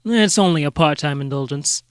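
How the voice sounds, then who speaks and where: Earnest, self-important sleuth played by a man, lighter-pitched, dry close sound, comedic detective drama. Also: normal speed, smooth, no room sound, close to an everyday voice. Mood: earnest, smug. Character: self-serious part-time vegan amateur detective.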